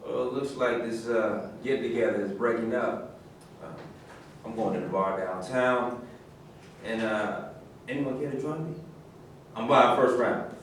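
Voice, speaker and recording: Slow-paced man reading a play, echoey. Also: medium pitch. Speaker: actor in a staged play reading. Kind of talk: staged script reading. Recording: echoey room, distant mic, faint noise.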